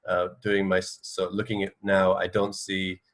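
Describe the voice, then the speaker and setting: A man's voice: sits low, completely flat delivery, a bit bright. A man co-hosting a casual radio discussion, choosing his words tentatively and hesitantly. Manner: hesitant, tentative, measured wording. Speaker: radio talk co-host.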